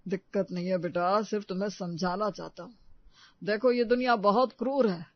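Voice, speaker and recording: A man's voice: sits high, fairly even intonation, normal speed, no room sound, highs cut off. A man playing a worried, earnest retired father counselling his son in a story drama. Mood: earnest, urging. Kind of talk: acted story dialogue.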